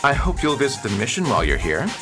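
An actor playing a stern, prim missionary reverend who is tense and curt in a radio play. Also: male voice, medium pitch, very animated, fast, over music, lightly acted. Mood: stiffly polite. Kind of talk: radio theatre acting.

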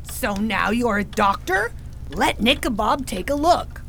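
Snide enchanted she-goat female actor, unhurried with swooping pitch, bickering, noisy fantasy audio drama. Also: very animated, creaky, a bit bright, heavily acted. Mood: snappy. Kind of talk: fantasy radio drama acting.

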